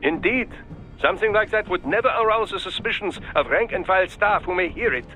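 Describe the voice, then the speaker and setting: An actor playing a fussy, hesitant cyborg surgeon in a game cutscene, formal and prone to stalling with "uh". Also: male voice, sits high, lively intonation, brisk, pushed and bright, faint music behind, rough recording. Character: hesitant cyborg surgeon doctor, middle-aged man, fussy. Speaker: doctor.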